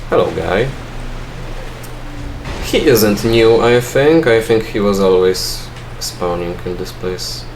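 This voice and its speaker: A man's voice: on the low side, slow, a little mellow, slight background noise, rough recording. A young man with a Polish accent, a non-native English speaker, giving dry, self-mocking gameplay commentary and thinking aloud with fillers. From Poland. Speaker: let's play gamer.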